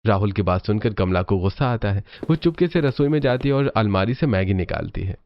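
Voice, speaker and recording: Male storybook narrator for cartoons, lower register, slightly dull studio sound. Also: lively intonation, a little fast.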